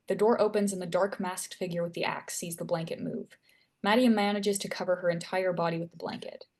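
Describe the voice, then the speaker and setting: A woman reading scripted stage directions as the narrator of a screenplay table read. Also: on the low side.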